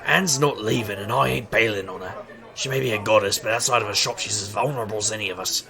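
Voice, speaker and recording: An actor playing a wry, stubborn street magician detective in an audio drama, with a gravelly, sardonic delivery. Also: male voice, on the low side, quick pace, a crowd in the background. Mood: defiant. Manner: sardonic, wisecracking. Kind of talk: audio drama acting and noir-like narration.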